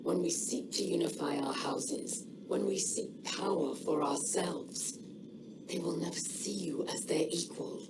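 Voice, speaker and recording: A woman voicing a proud, weary alien leader in a video game cutscene, solemn and deliberate, with a breathy rasp. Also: on the low side, heavy background noise. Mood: bitter, defiant.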